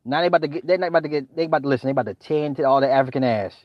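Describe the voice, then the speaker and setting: A man's voice: a little high, rapid-fire, no echo, muffled recording. A man ranting casually and profanely, full of slang, repeating himself and restarting phrases. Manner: repetitive, slangy, stumbling restarts. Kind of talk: profane comedic rant.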